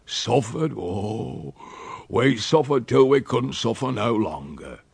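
A man voicing a wicked, droll old country uncle with a rural English accent, gruff and fruity, spinning tall tales in a storybook reading. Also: mid-range voice, big pitch swings, darkish tone, dry recording, heavily acted. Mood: aggrieved. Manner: sly, drawn-out dialect storytelling.

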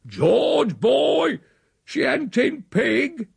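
Storybook old rustic drunkard: husky, high-pitched bawling, blasphemous and wild. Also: male voice, expressive, takes it slowly, no echo, full character voice. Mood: panicked, bawling. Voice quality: thick voice, strained and husky. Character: devilish, bawling, drunken old country uncle Silas.

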